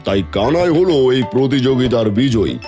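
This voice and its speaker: A man's voice: mid-range voice, animated, moderate pace, natural voice. A man playing an authoritative, unyielding village judge in a cartoon, speaking formally. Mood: firm, official. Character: firm, authoritative village judge handing out verdicts. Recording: music behind the voice.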